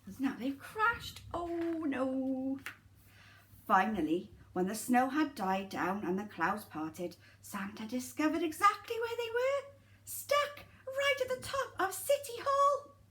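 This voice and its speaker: High voiced lady reading a story to little kids, super lively and dramatic, chats to them. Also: slow, rough recording. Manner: animated, dramatic, addresses children directly. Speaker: nursery teacher reading a story. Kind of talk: expressive children's storytelling with asides to listeners.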